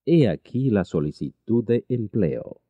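A man teaching a language lesson, reading each phrase slowly and repeating it twice. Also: on the low side, big pitch swings, dry recording, lo-fi sound. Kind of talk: slow repeated translation drills.

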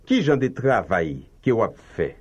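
A man reading slow, carefully enunciated translations in a language drill. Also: mid-range voice, big pitch swings, a little mellow, husky, dull audio. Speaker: language teacher.

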